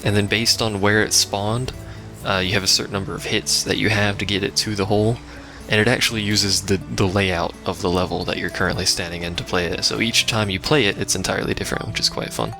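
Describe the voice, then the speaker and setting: A man's voice: lowish voice, steady tone, a little fast, creaky, pushed and bright. A man giving casual, rambling live game commentary as a let's play host, with wry asides. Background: video game music.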